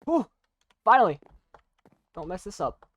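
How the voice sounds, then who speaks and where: A young adult chatting casually while playing and commentating on a video game, rambling in a halting, self-deprecating way. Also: medium pitch, big pitch swings, background noise. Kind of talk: casual gaming commentary and chat.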